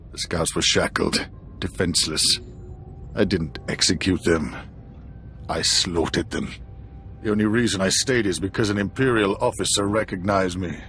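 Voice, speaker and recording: An actor playing a tormented, repentant former dark warrior in a video game cutscene, speaking gravely, as if confessing. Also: male voice, sits low, moderate pace. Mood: haunted. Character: tormented repentant dark Jedi, former Imperial, guilt-ridden man. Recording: game music under the voice.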